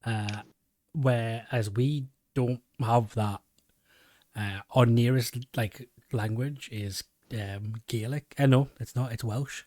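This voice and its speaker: A man's voice: medium pitch, fairly even intonation, takes it slowly, a little mellow, no room sound. A man with a Geordie accent hosting a podcast, chatting casually and often restarting his sentences. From England. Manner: rambling, many restarts. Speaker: podcast host. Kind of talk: casual chat.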